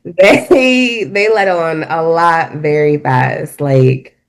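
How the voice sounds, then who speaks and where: A woman co-hosting a podcast, talking casually with lots of fillers and rambling asides. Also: sits low. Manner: rambling, filler-heavy, quick bursts. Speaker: podcast co-host. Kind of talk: casual chat.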